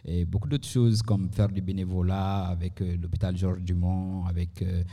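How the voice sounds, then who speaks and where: A man's voice: low voice, little pitch movement, normal speed, dark tone. A man, introducing himself in a modest, hesitant way at a live event. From Mali. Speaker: panel guest, medical director. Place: live recording in a university bar. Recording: reverberant room, live event recording.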